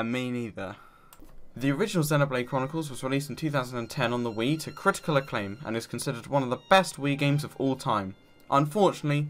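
A man's voice: medium pitch, fairly bright tone. A man narrating a scripted video game review with joking, sarcastic asides.

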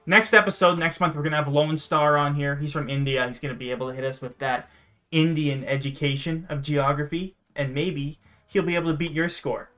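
A man's voice: fairly high pitch, fairly even intonation, fairly quick, bright, forceful tone. A young man hosting a gaming video, commenting casually in an encouraging, enthusiastic manner. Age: young adult. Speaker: host of a gaming show. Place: indoor room, sharing a webcam frame with a friend. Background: music.

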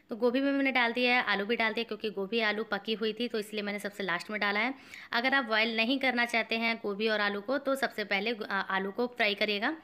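A woman's voice: a little high, bright, forceful tone. A woman hosting a home cooking channel, chatting through each step of a recipe and calling her viewers friends. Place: home kitchen.

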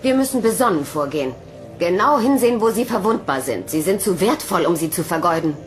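Radio play scientist: measured, cautious alien woman voiced by an actress, precise diction, strong music bed. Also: fairly low pitch, animated, close to an everyday voice. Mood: cautious, insistent. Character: measured, cautious female alien researcher studying humans. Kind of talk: German sci-fi radio drama acting.

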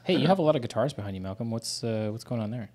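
A man's voice: medium pitch, animated, racing, a little mellow. Joking man hosting a home podcast. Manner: joking, curious questioner. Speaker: co-host. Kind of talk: casual podcast chat. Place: home studio with bookshelves. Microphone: studio mic with headphones.

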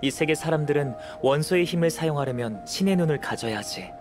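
Measured young scholar-alchemist, soft and even , a man voices him, music bed. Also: fairly high pitch, natural voice. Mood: calm. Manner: soft-spoken, measured, analytical. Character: calm young male alchemist, curious, scholarly. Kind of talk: game voice acting. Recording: with clear game music behind.

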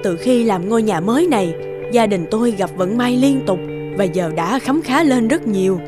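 A woman's voice: on the high side, animated, normal speed, over music, lightly acted. A woman voicing a hearty, insistent and grateful village housewife in a folk-tale cartoon. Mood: grateful, hospitable.

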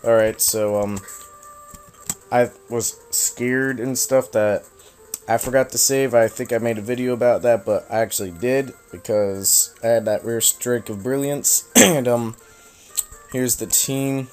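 A young man casually commenting while he plays a video game, rambling and self-mocking, his voice slightly raspy from a cold. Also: medium pitch, fairly even intonation, unhurried, darkish tone, faint music behind. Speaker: let's play host.

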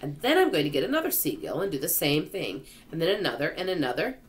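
A woman voicing an earnest, thoughtful young boy in a storybook, in a measured manner. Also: low voice, very animated. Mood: determined.

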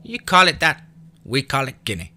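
A man's voice: medium pitch, big pitch swings, normal speed, bright, forceful tone, pitched above the performer's own voice. A man voicing a scrappy, hungry young boy with a drawl and defiant pride. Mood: earnest. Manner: folksy backwoods drawl, pleading to defiant.

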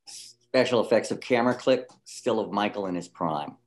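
A man with a low voice narrates a script at a table reading. He reads out scene headings and stage directions between the actors' parts. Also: expressive, normal speed.

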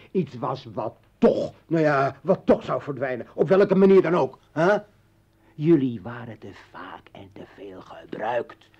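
An actor playing a bitter, militant revolutionary and former journalist in a radio play, ranting and taunting in halting bursts. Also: male voice, fairly high pitch, darkish tone. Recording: dull vintage radio drama recording.

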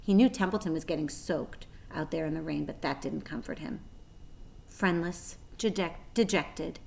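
A woman's voice: deep voice, normal speed, creaky, a little mellow. A woman in her forties reading a children's story aloud expressively, as a storyteller. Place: small plain room indoors.

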